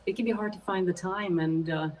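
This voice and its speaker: A woman's voice: on the low side, fairly even intonation, fairly quick, a little mellow. A woman with a faint Swedish accent, a musician guest chatting casually in an interview, with plenty of hesitant fillers. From Sweden. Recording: voice a bit far from the mic.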